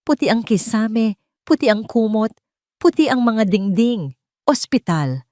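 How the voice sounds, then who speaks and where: A woman's voice: on the high side, big pitch swings, a little slow, dark tone, no room sound, clean studio sound. A woman narrating a scripted love story in a dramatic storytelling style.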